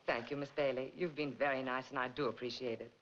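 An actress playing a gracious, wealthy middle-aged wife in a 1940s film, polite, eager and genteel. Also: female voice, very deep voice, fairly even intonation, quick pace. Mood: happy, gracious. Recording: dull, noisy 1940s film soundtrack.

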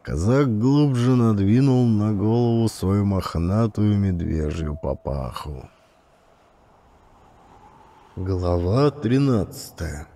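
Low-voiced man narrating an adventure audiobook. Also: lively intonation, slow pace, warm tone. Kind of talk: dramatic scripted audiobook narration.

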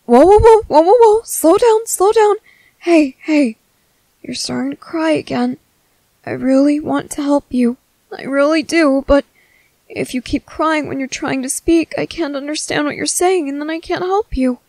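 A woman playing a gentle, worried young merboy in an ASMR roleplay, speaking softly and hesitantly to comfort someone. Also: sits high, normal speed, dry recording. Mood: calming, reassuring. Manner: soft, hesitant, soothing.

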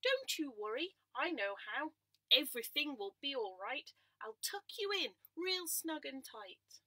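A woman's voice: high-pitched, very animated, a little slow, no echo, voice pushed up, full character voice. A woman voicing a kindly, eager cow who reassures a little lamb. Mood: eager reassuring. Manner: warm, reassuring.

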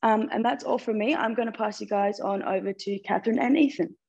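A woman's voice: mid-range voice, brisk, gravelly, a little mellow, husky, dull audio. A young Australian woman giving a casual, informative tour as a student guide. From Mildura, Victoria, Australia. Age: young adult. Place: large studio room.

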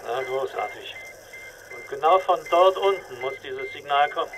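Man acting a lively, sober astronaut. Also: medium pitch, normal speed, background noise, close to an everyday voice. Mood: matter-of-fact. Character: sober, technically minded male astronaut. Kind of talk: audio drama acting.

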